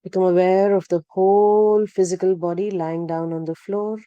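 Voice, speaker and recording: A woman leading a guided relaxation practice, speaking calmly and gently, with unhurried instructions and long pauses. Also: lowish voice, smooth, no echo, dull audio. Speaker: yoga nidra guide. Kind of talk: guided meditation narration.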